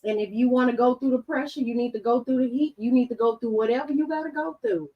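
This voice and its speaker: A woman's voice: on the high side, fairly even intonation, quick pace, recorded from a distance, lo-fi sound. A woman panelist giving faith-based personal testimony in emphatic, repetitive phrases.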